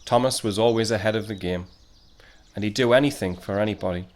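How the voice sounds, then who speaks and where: A man's voice: on the low side, fast, a little hiss or hum, lightly acted. A man playing a wistful narrator who looks back reflectively in a scripted audio drama. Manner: reflective storytelling.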